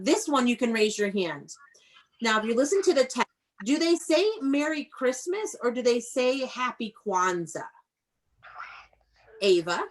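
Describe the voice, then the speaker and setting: A woman's voice: a little high, low-quality recording. A woman teaching young children in an online read-aloud class, prompting them with questions and encouragement in an instructive way.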